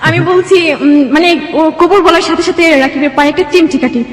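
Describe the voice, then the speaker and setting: A woman's voice: extremely high pitch, steady tone, quick pace. A young Bangladeshi woman telling her own life story as an interview guest. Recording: echoey room with long reverb.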